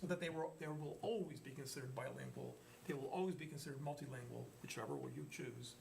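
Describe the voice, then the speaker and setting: A man's voice: medium pitch, fast, vocal fry, background noise. A man, a board member, asking inquisitive follow-up questions at a public meeting in a wry way.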